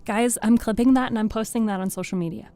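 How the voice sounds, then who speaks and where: Giggly woman streamer, mellow voice. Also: a little high, fast talker, soft background music. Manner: chatty, giggly, thanks viewers by name. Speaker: streamer and VTuber reading host. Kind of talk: casual live-stream chat with viewers.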